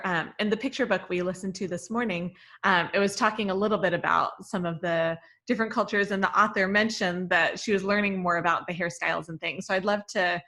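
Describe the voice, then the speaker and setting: A woman hosting a casual video call chat, upbeat and quick to praise her guest. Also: mid-range voice, sharp tone. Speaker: host.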